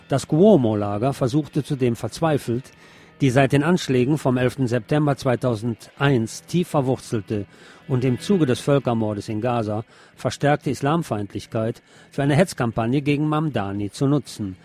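A man's voice: medium pitch, warm tone. A man reading scripted news as a radio presenter.

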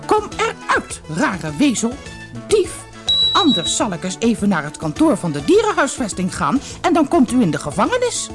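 A woman's voice: fairly high pitch, wildly swooping pitch, normal speed, over music, voice pushed up. A woman voicing a polite male rabbit in a storybook, indignant, flustered and blustering. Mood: furious. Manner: stammering, blustering. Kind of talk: storybook character voice.

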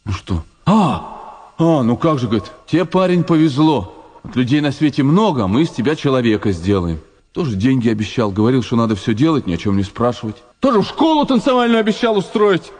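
Jittery, pleading, husky convict. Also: male voice, medium pitch, very animated, a little fast, a little mellow, in a large hall. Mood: subdued recalling. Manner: rambling, plaintive, jittery, colloquial. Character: frightened, naive male convict pleading his innocence. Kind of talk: Soviet radio drama acting.

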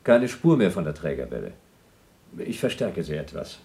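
A man's voice: rumbling low, sing-song, normal speed, warm tone, heavily acted. A man playing a bored, teasing astronaut on a moon base in a radio play, talking in a colloquial, needling way.

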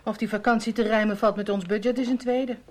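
A woman's voice: medium pitch, steady tone, quick pace, a little mellow, close to an everyday voice. An actress playing a dry, sceptical wife who teases her husband, in a radio drama with a Netherlands Dutch accent. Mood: indignant. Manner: wry, teasing, assertive. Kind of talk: Dutch radio play acting.

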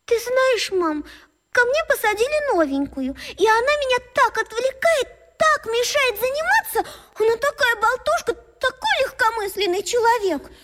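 Cast: a prim schoolgirl in a radio play, very high and whiny. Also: expressive, normal speed, fairly bright tone. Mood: pleading. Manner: pleading, whiny. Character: prim schoolgirl class monitor, pleading with her mother.

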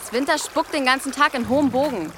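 A woman's voice: high-pitched, a little fast, noisy background, lightly acted. An actress playing a cheeky, blunt village girl in casual, naturalistic audio drama dialogue. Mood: teasing, blunt. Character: cheeky, blunt, teasing village girl who hunts and forages.

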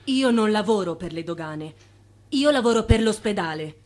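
A mid-pitched woman acting as a hospital nurse in a radio drama. She is practical and devoted, with a reserved, dry way of speaking that allows no sentiment. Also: expressive, moderate pace, a little mellow, lightly acted. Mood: dry, guarded.

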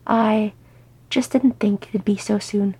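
A protective old dwarf father from a fantasy storybook, acted by a woman. He is gruff and grumbles his way along. The voice is gravelly and husky. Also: mid-range voice, fairly even intonation, slow pace, dark tone, heavily acted. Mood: resigned.

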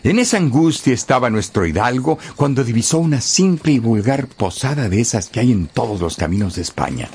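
A man narrating a radio story expressively and theatrically, with dramatic pauses and emphasis. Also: medium pitch, very animated, a little mellow, slight background noise.

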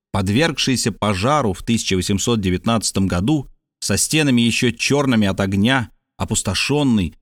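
A man narrating an adventure audiobook in a measured, steady reading style. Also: mid-range voice, dry recording, clean studio sound. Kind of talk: scripted narration.

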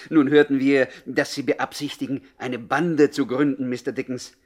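An actor playing a timid, superstitious petty crook in a radio play, hesitant, stammering and very polite. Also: male voice, medium pitch, flat delivery, a little slow, close to an everyday voice. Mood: eager, polite. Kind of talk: 1960s German radio play acting.

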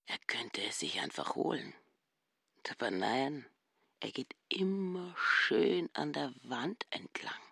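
A suspicious housekeeper's inner voice in a radio play, husky and gravelly and muttering half in a whisper. The pitch swings widely and the sound is close and dry. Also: female voice, big pitch swings, takes it slowly, a bit bright, dry recording, full character voice. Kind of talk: radio drama interior monologue.

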